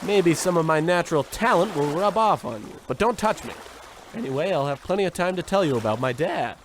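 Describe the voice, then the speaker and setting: A man's voice: a little high, animated, fairly quick, slight background noise, natural voice. A man playing a pompous, self-absorbed, insulting adventurer in an old-time radio comedy. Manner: pompous, bossy, theatrical.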